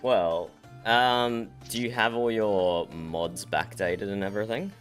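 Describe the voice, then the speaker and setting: A man hosting a livestream, croaky from just waking up, rambling and correcting himself as he chats and teases his guest. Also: lowish voice, slow pace, a bit bright. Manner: rambling, self-correcting, teasing. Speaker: streamer host. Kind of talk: casual livestream chat. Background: faint background music.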